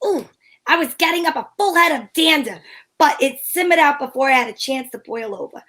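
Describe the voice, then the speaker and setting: A woman's voice: sits high, animated, fairly quick, sharp tone. A woman playing a sassy, wisecracking young lady detective who narrates in an arch, old-time radio comedy style. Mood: indignant, wry.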